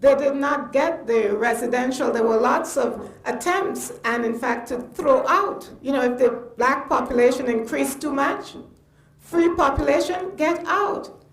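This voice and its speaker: An older woman from Trinidad with a Trinidadian accent, speaking off the cuff at a public lecture in an emphatic, halting way. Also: high voice. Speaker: guest lecturer. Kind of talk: spontaneous public lecture commentary. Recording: echoey room.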